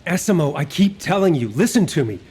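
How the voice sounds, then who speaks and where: A teasing squad leader. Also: male voice, on the high side, big pitch swings, brisk, a little mellow, husky, full character voice. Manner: teasing, commanding. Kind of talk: video game cutscene voice acting.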